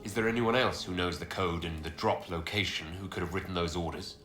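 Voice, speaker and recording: Game lead: authoritative inquisitor, lowish voice, probing and firm, roomy sound with faint music. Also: male voice, a little fast, fairly bright tone. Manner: direct, questioning, authoritative. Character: commanding male inquisitor, resolute hero and investigator. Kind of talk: video game cutscene dialogue.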